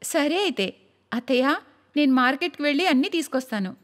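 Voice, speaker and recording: A woman voicing a gentle, eager-to-please young daughter-in-law in a cartoon moral story. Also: high-pitched, expressive, fairly quick. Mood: eager, polite.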